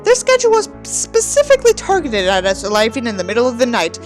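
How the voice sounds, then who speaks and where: A woman's voice: on the high side, huge pitch swings, fast, faint music behind. A woman giving a dramatic reading with theatrical, mocking delivery and sardonic asides. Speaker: host reading a book aloud with mocking commentary.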